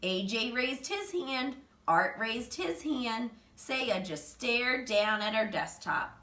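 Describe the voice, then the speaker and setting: Female storybook reader, animated and emphatic, bright tone, slightly distant from the mic. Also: a little high, slow. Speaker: teacher reading a children's chapter book aloud. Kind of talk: expressive storybook narration. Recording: roomy echo, distant microphone.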